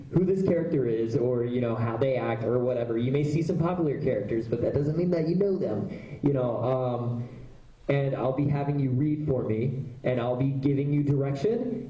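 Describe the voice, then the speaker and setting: A man's voice: mid-range voice, dull audio. A man, a voice actor, casually answering audience questions at a convention panel, talking loosely with plenty of fillers. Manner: chatty, loose, lots of fillers. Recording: echoey panel room.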